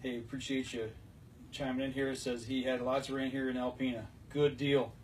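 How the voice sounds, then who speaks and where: A man's voice: mid-range voice, level tone, a little fast, a little mellow. Man podcasting, slightly distant mic. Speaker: podcast host. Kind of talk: casual hunting talk show chat. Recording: distant mic, noticeable background noise.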